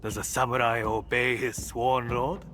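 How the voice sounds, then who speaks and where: Game mentor male actor, thoughtful martial master, formal and deliberate, forceful tone, soft score behind. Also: mid-range voice, level tone, close to an everyday voice. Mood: grave, instructive. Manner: measured, formal, philosophical. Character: thoughtful, principled martial arts master of feudal Japan. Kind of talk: dramatic game cutscene acting. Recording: faint music behind the voice.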